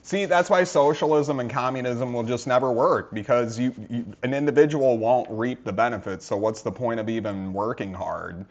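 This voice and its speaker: A man's voice: mid-range voice, moderate pace, hardly any pauses. A man giving casual reaction commentary over a clip, with sarcastic offhand asides. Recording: slightly dull lo-fi audio.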